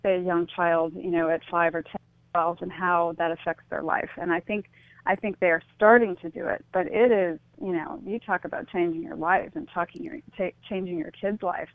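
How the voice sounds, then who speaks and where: A woman's voice: lowish voice, steady tone, moderate pace, dry recording. A woman is a guest on a radio interview, heard over a narrow, phone-like line with no room sound. She talks in a reflective, conversational way, a little tentative, often checking her point as she goes. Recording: thin, phone-like call-in line.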